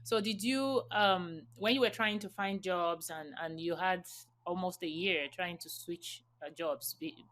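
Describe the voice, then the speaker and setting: A woman hosting an interview, asking measured, probing questions. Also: lowish voice, fairly bright tone. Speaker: podcast host.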